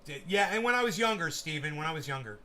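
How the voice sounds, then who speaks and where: A man's voice: a little high, expressive, fast talker, pushed and bright. A man hosting a casual chat show, rambling and joking with the odd curse word. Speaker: host.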